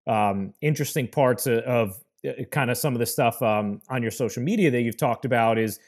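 A man hosting a casual interview who asks long, halting questions full of restarts and fillers. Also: mid-range voice. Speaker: host.

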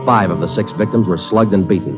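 A no-nonsense police detective, played by a man who talks fast and low in short clipped lines. It comes through an old, dull-sounding radio broadcast with loud orchestral music running underneath. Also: natural voice. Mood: narrating. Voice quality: clipped, matter-of-fact delivery. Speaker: detective and narrator. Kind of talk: 1940s radio crime drama acting.

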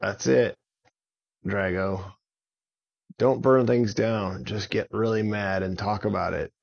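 A man voicing a caring, reassuring friend in a children's story, speaking earnestly and repeating himself gently. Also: fairly low pitch, steady tone, slow, gravelly, lo-fi sound, full character voice. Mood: soothing. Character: calm, caring male friend soothing a dragon.

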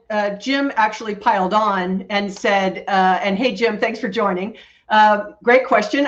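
A woman hosting a webinar, briskly reading out audience questions. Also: medium pitch, highs cut off. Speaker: webinar host. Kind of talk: moderating a webinar.

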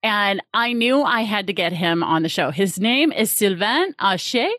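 A woman's voice: medium pitch, animated, unhurried, a bit bright, dry recording, studio quality. A woman hosting a podcast interview in an upbeat way, laughing easily. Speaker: podcast host.

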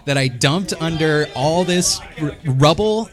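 A man's voice: a little high, expressive, a little slow, faint music behind. A man hosting a podcast in casual, joking talk, sarcastic and teasing, with some swearing. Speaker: podcast host.

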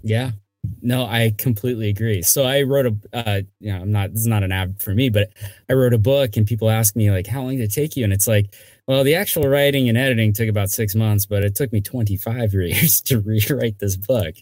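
A man hosting a podcast interview, chatting in a relaxed, encouraging way. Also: fairly low pitch, fairly quick, dry recording. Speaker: podcast host. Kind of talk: conversational interview.

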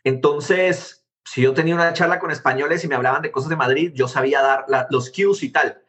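A man from Guatemala in his thirties, an interview guest telling his story in a quick, animated conversational way. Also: fairly high pitch. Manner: animated, quick, jumps between anecdotes. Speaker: interview guest, tech founder.

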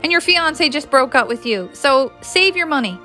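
A woman with a Newfoundland accent voicing a warm, firm, good-humored young woman in a story, emphatic and friendly. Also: high voice, lively intonation, moderate pace, soft background music, voice pushed up.

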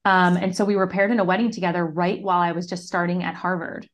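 A woman's voice: on the low side, level tone, fast. A woman from the US East Coast, a guest on a podcast, telling her story casually with lots of "like". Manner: casual storytelling, many "like" fillers. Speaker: podcast guest, business mentor. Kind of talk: conversational interview.

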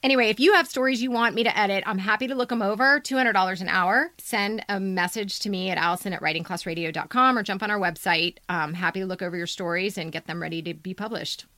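A woman's voice: medium pitch, fast, hardly any pauses, pushed and bright, no room sound, clean studio sound. A woman co-hosting a podcast as a story editor, talking in a direct, emphatic, conversational way.

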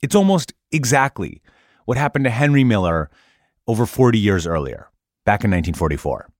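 Guy narrating a podcast, super animated delivery, dry studio sound, bit of music low under it. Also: brisk, no echo. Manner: lively, expressive delivery with playful emphasis. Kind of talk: scripted narration.

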